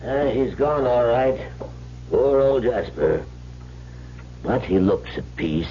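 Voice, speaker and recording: An actor playing a sombre, resigned family doctor in a radio drama. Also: male voice, mid-range voice, animated, slow pace, slight background noise. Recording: dull, humming old radio recording.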